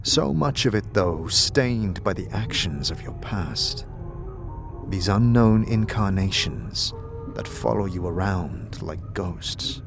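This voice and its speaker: A man's voice: low-pitched, animated, slow pace, creaky. A man giving dramatic, scripted second-person narration for an audio drama as narrator and game master. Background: music.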